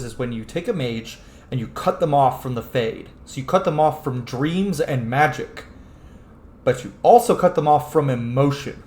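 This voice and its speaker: A man's voice: medium pitch, darkish tone. A man giving casual, opinionated game commentary as he plays, swearing freely. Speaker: gaming streamer playing and commenting. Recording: roomy echo with faint noise.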